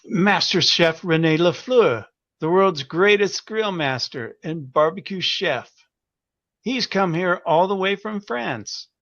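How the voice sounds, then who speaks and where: A man playing a small, self-important press correspondent in a comic audio drama, brisk and boastful in manner. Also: sits high, expressive, fairly bright tone, dry recording, muffled recording, heavily acted.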